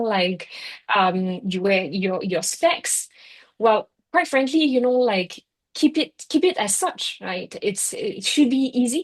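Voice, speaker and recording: A middle-aged woman with a French accent gives an online workshop talk in a chatty way, filling her sentences with "you know, like" and "right?". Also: mid-range voice. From France. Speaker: workshop speaker. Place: Singapore.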